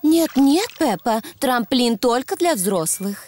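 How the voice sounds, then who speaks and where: A woman's voice: a little high, expressive, takes it slowly, a little mellow. A woman playing a caring, cautioning mother pig in a children's cartoon dub. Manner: motherly, clear explaining. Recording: clear noise behind the voice.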